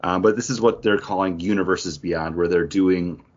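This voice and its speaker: A man's voice: deep voice, moderate pace, highs cut off. A man hosting a podcast in a casual, conversational style. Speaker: podcast host. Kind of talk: casual chat.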